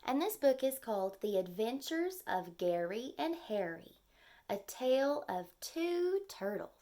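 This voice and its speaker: A woman teacher speaking to young children in simple, clearly phrased language, as in a storytime lesson with reading aloud and explaining. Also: on the high side, very animated, unhurried, dark tone.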